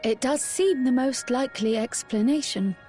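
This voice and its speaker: A woman plays a scholarly young woman in a fantasy game. Her voice sits fairly high and she speaks politely and precisely over faint music. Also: normal speed, warm tone, close to an everyday voice. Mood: matter-of-fact. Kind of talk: scripted video game dialogue.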